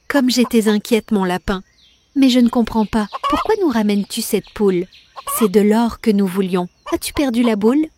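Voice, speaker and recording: Woman as a high-voiced, fussy fairy-tale mother. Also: expressive, moderate pace, noisy background, lightly acted. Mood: worried, scolding. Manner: theatrical, scolding, coaxing. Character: fussy, greedy mother of a young adventurer. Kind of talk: cartoon fairy-tale character acting.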